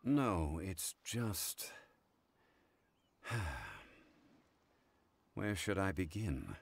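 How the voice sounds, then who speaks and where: An actor playing a composed, scholarly gentleman consultant in an anime game, speaking formally and with measured gravity. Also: low voice, animated, gravelly, full character voice. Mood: grave, reluctant.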